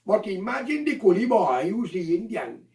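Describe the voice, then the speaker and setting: A man holds forth in a heated, accusatory monologue, lecturing his listeners like a preacher. His voice sits high and leaps all over the place. He sounds a little distant from the mic, with a roomy echo around him. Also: big pitch swings, normal speed, warm tone. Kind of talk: impassioned monologue.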